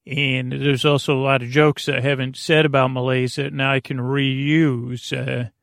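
A middle-aged American man with a creaky voice hosts a bedtime podcast in a meandering, halting, soothing monologue, easily sidetracked. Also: medium pitch, little pitch movement, fairly bright tone, no room sound, studio quality.

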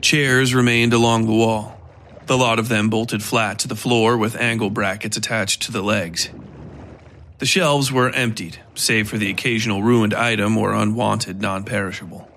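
A man reading scripted dark fiction as a narrator. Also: on the low side, fairly even intonation, moderate pace, slight background noise.

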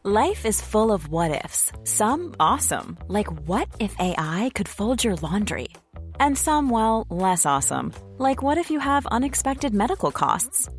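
A woman's voice: mid-range voice, sing-song, measured pace, soft background music. A woman doing a playful scripted ad voice-over. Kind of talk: scripted ad narration.

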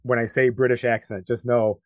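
A man's voice: mid-range voice, level tone, moderate pace, no room sound. Easygoing man, dry sound. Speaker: podcast co-host. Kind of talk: casual chat.

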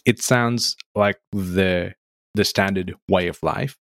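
A man's voice: low-pitched, takes it slowly, dry recording, studio quality. A man from Nepal hosting a podcast interview, talking casually and leaning on "like" and "um" as he asks questions. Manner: casual and conversational, with frequent "like" and "um" fillers. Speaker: podcast host.

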